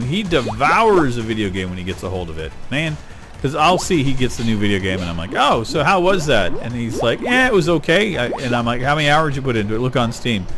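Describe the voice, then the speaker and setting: A middle-aged man rambling casually while playing a video game, getting excited over loot. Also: medium pitch. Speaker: gaming YouTuber. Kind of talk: casual let's-play commentary. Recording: game music behind voice. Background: game music.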